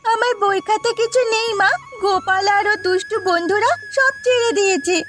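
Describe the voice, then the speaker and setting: A woman's voice: very high voice, normal speed. A woman voicing an earnest, sorrowful, devoted young village schoolgirl in a fairy-tale cartoon, with a plaintive delivery. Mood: distressed, pleading. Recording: music under the voice.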